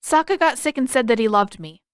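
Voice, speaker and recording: A woman's voice: fairly high pitch, expressive, a little fast, clear, resonant tone, no echo, studio quality, voice pushed up, close to an everyday voice. A woman voicing a friendly, guileless young boy in an audiobook story, earnest and artless. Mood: sheepish, blurting.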